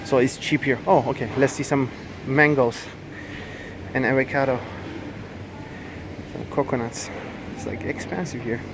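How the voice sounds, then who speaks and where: A young man in his twenties with a Turkish accent, filming a casual vlog on his phone as he walks through a supermarket, talking haltingly with many fillers and self-corrections. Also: mid-range voice, steady tone, noisy background. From Turkey. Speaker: vlogger. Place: large supermarket indoors. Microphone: handheld phone camera. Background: store ambience.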